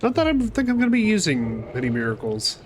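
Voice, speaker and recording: A man with a cold talks casually over his own gameplay, chatty and a little rough-mouthed. His voice sits a bit high for a man. A faint hiss of noise runs underneath. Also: huge pitch swings, fast talker. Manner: chatty, swears casually, talks to enemies on screen. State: fighting a cold. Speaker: gaming channel host. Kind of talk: casual let's-play commentary.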